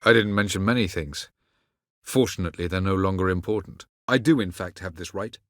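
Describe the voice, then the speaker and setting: Casting: ancient elf sage, video game. Deep voice, very dry close sound, aloof, measured, archaic and condescending. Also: male voice, fairly bright tone, dry recording. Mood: cool, condescending. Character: aloof, haughty ancient elven sage and mage. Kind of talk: video game character acting.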